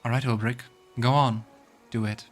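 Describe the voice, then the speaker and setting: A man's voice: medium pitch, steady tone, moderate pace. A man hosting a let's play, casually commenting, musing aloud and reading game text. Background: faint game music.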